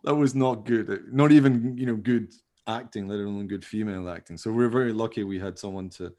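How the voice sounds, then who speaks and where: A man's voice: lowish voice, brisk, dark tone. A man chatting casually as a co-host, rambling along in a jokey, self-deprecating way. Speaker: podcast co-host, radio play writer. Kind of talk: casual behind-the-scenes chat.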